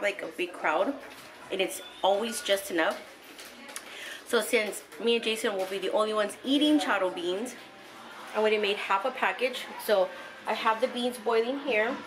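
A middle-aged woman from South Texas chatting casually to a handheld camera in her home kitchen, relaxed and full of ums and likes. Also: on the high side, background noise. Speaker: vlogger. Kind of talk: casual home vlog chat. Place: home living room and kitchen, indoors. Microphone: handheld camera close to face.

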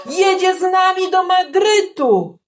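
A woman voicing an excitable bullfight spectator in a children's radio play, with drawn-out exclamations on a noisy amateur recording. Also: extremely high pitch, voice pushed up, exaggerated voice. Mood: delighted. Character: excitable bullfight crowd member, shouting in awe.

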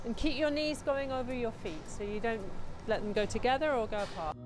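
A middle-aged woman with a fairly high voice coaches exercise technique in a gym. She gives clear step-by-step instructions and often checks that she is understood, with music playing behind her. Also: brisk. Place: indoor gym.

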